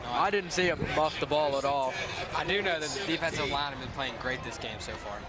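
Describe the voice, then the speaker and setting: A man's voice: a little high, a little fast, a loud crowd. A man giving brief, agreeing remarks as a color commentator during a football game. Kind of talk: live sports commentary. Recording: loud music behind the voice.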